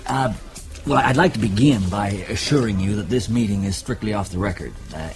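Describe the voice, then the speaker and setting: An actor playing a courteous, probing journalist in a radio drama, confiding and measured. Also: male voice, lowish voice, natural voice. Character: smooth, probing American male journalist.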